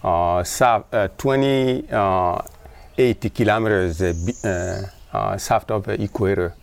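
A middle-aged man with a low, gravelly voice. He speaks haltingly and fills his many pauses with hesitations. He sounds like an interview guest talking casually. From Democratic Republic of Congo. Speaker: guest, environmentalist.

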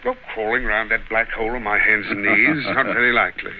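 A man acting a pompous, armchair-bound British gentleman official in a vintage radio drama, speaking formally in clipped, self-assured phrases. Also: lowish voice, fairly even intonation, fast talker, lightly acted. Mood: formal, matter-of-fact. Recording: dull, hissy vintage radio recording.

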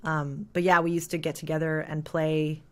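A woman in her thirties from Canada, a jazz singer chatting as an interview guest with a thick, heavy voice, full of "like" and quick laughs. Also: sits low, flat delivery. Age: 30s. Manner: rambling, filler-heavy, laughs often. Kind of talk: casual interview chat.

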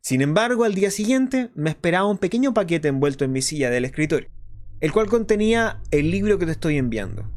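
A man playing an earnest, explanatory host of a clandestine resistance radio show, in a scripted monologue with deliberate phrasing. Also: sits high, expressive. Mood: somber, reflective. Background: music behind the voice.

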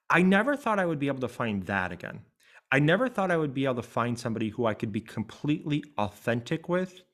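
A middle-aged man hosting a coaching interview, with a voice at an ordinary pitch for a man. He speaks earnestly and reflectively, working through his thoughts as he talks. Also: fairly bright tone. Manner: earnest and reflective, thinks aloud. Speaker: life coach and podcast host.

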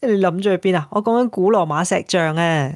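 A woman's voice: on the low side, brisk, a little mellow, no echo, clean studio sound, natural voice. Breezy Hong Kong Cantonese woman actor, teasing. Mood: teasing, playful. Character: breezy, teasing woman travel writer abroad. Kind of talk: radio drama acting, phone conversation.

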